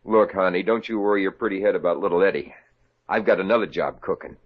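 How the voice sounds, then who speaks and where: A man's voice: deep voice, fast. An actor playing an eager, bumbling young salesman and boyfriend in a 1950s radio comedy, stammering, on a muffled old broadcast. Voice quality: stammering, goofy.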